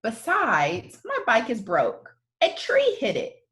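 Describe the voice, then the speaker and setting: Woman reading as a breezy, lively young schoolgirl. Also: fairly high pitch, slow, heavily acted. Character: breezy, friendly, free-spirited young schoolgirl in a storybook.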